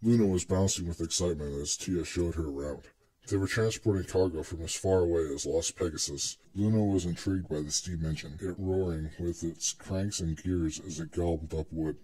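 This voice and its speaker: A man's voice: low voice, normal speed, mellow, breathy edge, low-quality recording. A man reading scripted story narration as the narrator of a dramatic reading.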